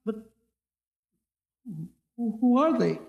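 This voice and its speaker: A man's voice: very high voice, lively intonation, slow, creaky, a little mellow, highs cut off, heavily acted. An actor playing a frantic, stammering middle-aged man who is trapped and pleading, in a 1950s radio drama style. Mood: shaken bewilderment. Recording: echoey vintage radio drama recording.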